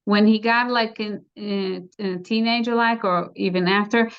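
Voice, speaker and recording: A middle-aged woman with an American accent, a guest in an interview, speaking haltingly with many fillers and restarts. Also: mid-range voice, steady tone, clean tone. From United States. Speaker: interview guest, bereaved mother. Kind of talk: casual conversation. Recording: dull lo-fi sound.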